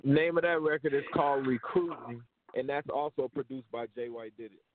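A man's voice: medium pitch, steady tone, brisk, a crowd in the background. An American man talking casually as an interview guest, a rapper giving earnest advice in slangy everyday speech. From United States. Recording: narrow tinny phone-like sound.

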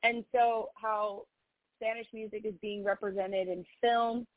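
A woman's voice: medium pitch, steady tone, measured pace, no room sound. A female singer-songwriter chatting in an interview, earnest and heartfelt, with lots of "you know" fillers. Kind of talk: casual interview chat. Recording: tinny phone-like line, dry.

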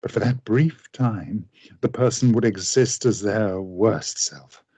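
A man plays a tormented gentleman scientist in a radio drama. He speaks slowly and formally in a husky voice, full of grave remorse, recorded close and dry. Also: on the low side, dry recording. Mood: confessing. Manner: grave, deliberate, formal diction. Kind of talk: radio play acting.

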